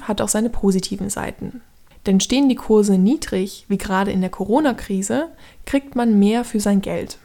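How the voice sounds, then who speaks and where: A woman's voice: medium pitch, gravelly, darkish tone. A woman aged thirty giving scripted explanatory narration as a podcast host, instructing the listener directly in a structured way.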